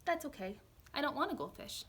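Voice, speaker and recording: Woman voicing a stubborn kid, insistent, firm, storybook, fairly high voice. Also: big pitch swings, a little fast, fairly bright tone, slight background noise. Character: stubborn child who only wants a dog.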